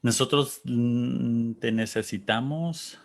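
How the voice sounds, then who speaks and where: A man from Texas hosting a panel, talking casually and reflectively, pausing to search for words and reading out audience comments. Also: mid-range voice, vocal fry. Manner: thoughtful, searching for words, pauses.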